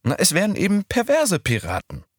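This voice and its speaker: A man voicing a bold, whimsical young woman in an audiobook, with a raised, lively, teasing lilt. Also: high-pitched, brisk, breathy edge, dry recording, clean studio sound, pitched above the performer's own voice, full character voice. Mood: playful. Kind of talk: audiobook character voice.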